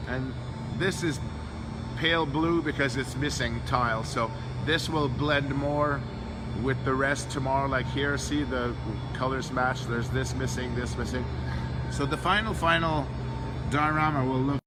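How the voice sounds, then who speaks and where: A man's voice: medium pitch, steady tone, measured pace, loud music behind the voice, low-quality recording. A tired man who is losing his voice, rambling offhand through a handheld walkthrough of a big convention build. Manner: rambling, offhand, trails off mid-sentence. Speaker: diorama workshop host. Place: convention hall floor. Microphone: handheld phone camera.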